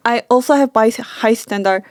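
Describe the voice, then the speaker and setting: A woman's voice: a little high, brisk, no echo, clean studio sound. A woman who owns a small clinic, chatting in an interview in simple, broken English, filling with "like" and laughing often. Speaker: clinic owner. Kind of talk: casual interview chat.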